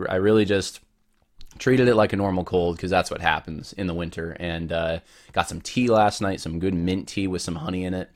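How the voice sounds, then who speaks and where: Man, very low voice, has a cold, chatty podcast host, rambling with restarts. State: has a cold, voice deeper than usual. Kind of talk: casual solo podcast talk.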